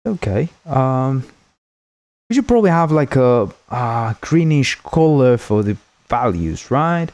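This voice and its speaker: A man's voice: mid-range voice, very animated, slow, darkish tone, no echo. A man whose first language is Spanish, hosting a game development livestream in a rambling, jokey way and thanking viewers often. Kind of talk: casual livestream chat.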